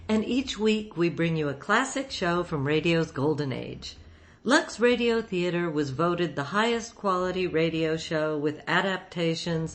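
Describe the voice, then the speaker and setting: A woman radio host reading a scripted introduction in a clear, deliberate presenting manner. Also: fairly low pitch.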